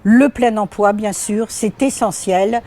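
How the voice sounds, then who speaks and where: A woman's voice: medium pitch, takes it slowly, mellow. A woman, a local deputy mayor, giving an assertive, direct campaign testimonial.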